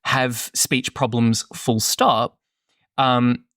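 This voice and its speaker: Male podcast co-host, mid voice, measured and hedging, self-repeating, dry recording. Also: mid-range voice, little pitch movement, fairly bright tone, no echo. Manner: thoughtful, hedging, repeats words. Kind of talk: casual conversation.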